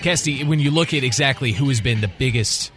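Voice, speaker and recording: A man's voice: medium pitch, brisk, fairly bright tone, soft background music. A man co-hosting sports radio, asking interview questions in wordy, circling phrases. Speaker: radio co-host.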